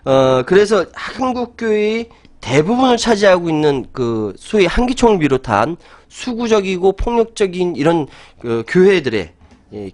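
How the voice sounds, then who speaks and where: A middle-aged man hosts a talk show, arguing his opinions in an animated way and laughing often. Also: high-pitched. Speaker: host.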